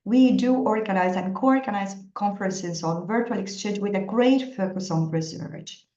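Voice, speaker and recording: Woman presenter with a warm, mellow voice, fluent delivery, roomy and slightly muffled call audio. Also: mid-range voice. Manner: articulate, fluent with occasional fillers. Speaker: guest speaker, managing director. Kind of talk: online presentation. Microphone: video call. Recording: dull, echoey video call audio.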